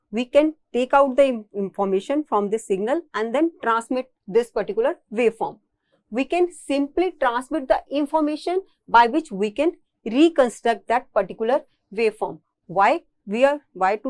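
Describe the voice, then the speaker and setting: Woman, Indian accent, fairly high voice, college lecturer, explanatory technical lecture, repeats points, dry close sound. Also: a little mellow, dry recording.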